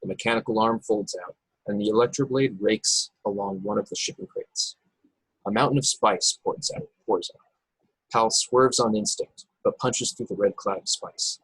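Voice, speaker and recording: Man, scripted narration, slightly deep, dry close sound, reading screenplay directions at an online table read. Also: normal speed, a bit bright, breathy edge, no echo, low-quality recording. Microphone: video call, close and dry.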